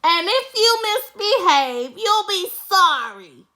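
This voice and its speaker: A woman's voice: very high voice, big pitch swings, slow, bright, forceful tone, pitched above the performer's own voice, exaggerated voice. A woman reading a children's storybook, voicing a stern, menacing witchy teacher who snaps and hisses commands. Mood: stern, bossy. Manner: snapping, hissing, commanding. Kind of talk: children's storybook read-aloud.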